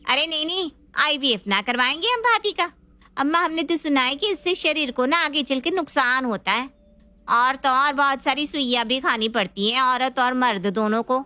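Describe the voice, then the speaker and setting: A woman's voice: sits high, moderate pace, sharp tone, dry recording. A woman playing a stubborn, outspoken sister-in-law. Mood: argumentative. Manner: argumentative, emphatic. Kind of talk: Hindi family drama acting.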